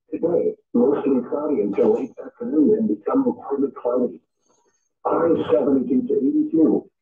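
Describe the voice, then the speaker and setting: Synthetic male weather radio voice, echoey and dull. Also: fairly high pitch. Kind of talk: automated scripted weather forecast reading. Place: radio speaker playing in a home room.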